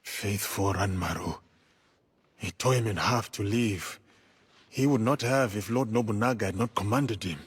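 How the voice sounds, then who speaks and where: Husky, solemn samurai. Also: male voice, lowish voice, normal speed. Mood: grieving. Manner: earnest, formal, solemn. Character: solemn, loyal samurai warrior in service to a lord. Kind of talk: dramatic video game acting.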